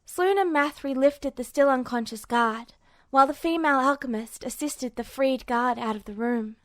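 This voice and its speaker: A woman's voice: high voice, normal speed, a bit bright, no echo, clean studio sound. A woman reading scripted fiction aloud as a narrator. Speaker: amateur audiobook narrator.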